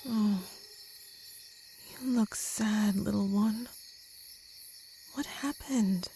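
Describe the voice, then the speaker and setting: A woman playing a kind, protective traveller in a gentle ASMR comfort roleplay, speaking softly with some whispering and reassuring slowly. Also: medium pitch, vocal fry, a little hiss or hum. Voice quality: soft, partly whispered. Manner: gentle, slow, soothing, reassuring.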